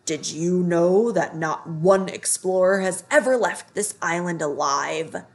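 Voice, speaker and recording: A woman voicing menacing, hungry talking tigers in a children's storybook, with taunting, emphatic, drawn-out words. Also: lowish voice, a little mellow, close to an everyday voice. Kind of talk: children's storybook read-aloud.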